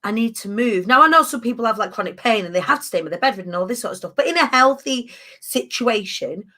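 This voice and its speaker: A woman in her thirties hosting a casual chat, animated and emphatic with playful asides. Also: on the high side, fast. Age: 30s. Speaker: podcast host.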